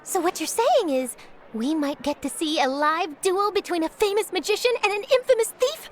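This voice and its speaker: An actress playing an eager, inquisitive young female journalist who talks in a bright, chirpy way. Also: very high voice, expressive, moderate pace, a little hiss or hum. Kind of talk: video game dialogue.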